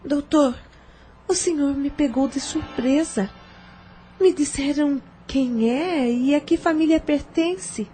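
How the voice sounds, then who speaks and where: A woman's voice: high voice, slow pace, mellow, slight background noise. A woman playing a troubled, humble young single mother in an audio drama, speaking hesitantly and deferentially. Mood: subdued.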